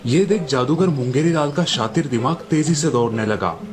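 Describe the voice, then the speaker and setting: A man's voice: a little high, expressive, normal speed, music in the background. A man narrating a scripted moral story as a storyteller.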